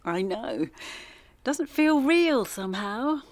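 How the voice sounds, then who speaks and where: A woman's voice: a little high, very animated, slow pace, dry recording. An actress playing a reserved middle-aged English mother in a naturalistic audio drama, subdued and guarded. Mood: softened. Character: reserved teacher, mother of a teenage son.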